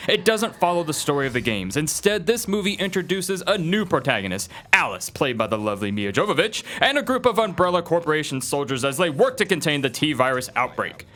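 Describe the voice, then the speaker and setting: Bright, high-pitched man narrating a video. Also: a little hiss or hum. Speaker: video narrator, film list presenter. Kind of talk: scripted narration, entertainment video essay.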